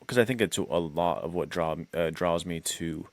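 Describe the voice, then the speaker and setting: An American man co-hosting a podcast, chatting casually in halting, slangy phrases peppered with "like". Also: low voice, dry recording. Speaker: podcast co-host. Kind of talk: casual chat.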